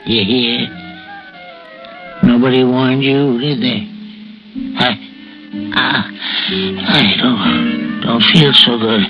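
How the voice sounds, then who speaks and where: An actor playing a grieving, muddled and vengeful widower in a radio suspense play, speaking in a halting, rambling, folksy way. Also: male voice, mid-range voice, over music. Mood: confused, gasping. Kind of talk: 1960s radio suspense drama acting. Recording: dull 1960s radio broadcast recording.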